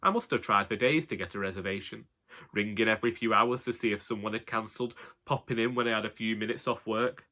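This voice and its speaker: A man playing a long-suffering elderly Northern English husband, telling a comic monologue in a wry, deadpan way. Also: lowish voice, fast. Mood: wry, exasperated. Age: older. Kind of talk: comic scripted monologue narration.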